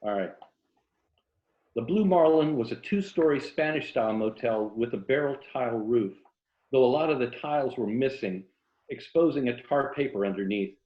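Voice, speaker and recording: A man's voice: medium pitch, lively intonation, moderate pace. An American man, a writer speaking as an interview guest in a wry, self-deprecating way, on an indoor video call. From Tampa, Florida, USA. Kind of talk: casual conversation, then reading own novel excerpt aloud. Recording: roomy, muffled video-call audio.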